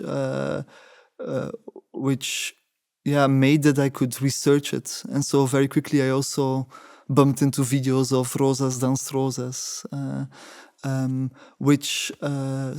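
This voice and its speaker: Soft-spoken, mellow man with a Dutch background. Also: medium pitch, no room sound, studio quality. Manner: thoughtful, many hesitations and restarts. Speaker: interviewee, choreographer. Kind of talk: reflective interview answers.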